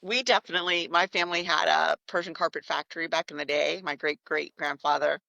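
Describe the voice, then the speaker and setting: Bright, gravelly woman. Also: low voice, fairly even intonation, fairly quick, no room sound, rough recording. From United States. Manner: reflective, warm storytelling with some restarts. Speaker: guest. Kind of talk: conversational interview.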